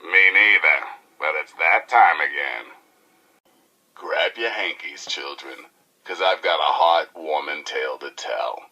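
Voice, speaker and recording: A man's voice: very deep voice, sharp tone, husky, muffled recording, full character voice. A male actor playing a flamboyant, irreverent radio DJ in a post-apocalyptic game, with an American accent, a gritty voice and theatrical, wisecracking patter. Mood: exuberant, showy. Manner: theatrical, wisecracking, folksy, drawn-out and punchy. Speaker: radio DJ and newsreader. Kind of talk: showy radio DJ patter and mock newscast.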